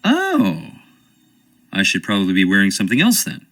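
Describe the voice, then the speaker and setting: A wry, theatrical American actor. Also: male voice, on the low side, wildly swooping pitch, moderate pace, vocal fry, a bit bright, exaggerated voice. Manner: wry, theatrical, dodging questions. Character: charming evasive young male stage actor. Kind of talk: video game voice acting.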